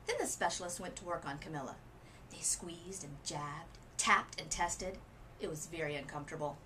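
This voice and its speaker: A woman reading a children's storybook aloud as a literacy coach, with expressive emphasis and dramatic pauses. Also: deep voice, a little hiss or hum, poor audio.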